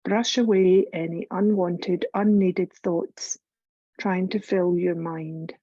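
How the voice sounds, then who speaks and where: A woman leading a guided meditation as a yoga teacher, speaking in a soothing, unhurried, instructive way. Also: lowish voice, steady tone, mellow, no echo, lo-fi sound. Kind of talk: guided meditation, scripted narration.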